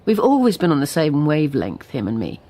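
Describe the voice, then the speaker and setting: Low-voiced genteel older English wife. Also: female voice, wildly swooping pitch, brisk, mellow, full character voice. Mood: confiding. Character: runaway English politician's wife, genteel, quietly defiant. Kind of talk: radio drama acting, confiding monologue.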